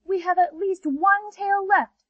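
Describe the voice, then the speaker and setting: A woman's voice: expressive, normal speed, no room sound. Stubborn childlike ray fish, as a woman puts them on. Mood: defiant. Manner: high, childlike, insistent. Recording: dull, dry audio.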